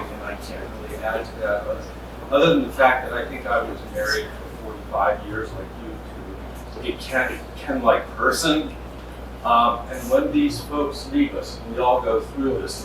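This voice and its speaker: An older man addresses a memorial crowd without a microphone, loud, earnest and measured. His voice comes from far off, rings through a very echoey hall, and sits over clear background noise. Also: medium pitch. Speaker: longtime friend. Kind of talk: speaking up without a mic.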